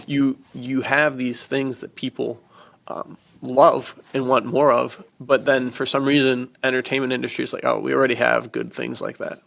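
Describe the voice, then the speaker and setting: A man's voice: mid-range voice, flat delivery, normal speed, creaky, fairly bright tone. A man co-hosting a podcast about fiction, talking casually and emphatically in informal, slangy speech. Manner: emphatic, informal, peppered with "dude" and "like". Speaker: podcast co-host and fiction author. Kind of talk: casual enthusiastic podcast chat.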